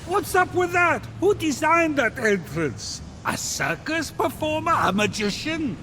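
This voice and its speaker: A man's voice: extremely high pitch, very animated, measured pace, pushed and bright, a little hiss or hum, heavily acted. A man voicing a wry, theatrical wisecracking onlooker in a comic video game cutscene. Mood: mocking.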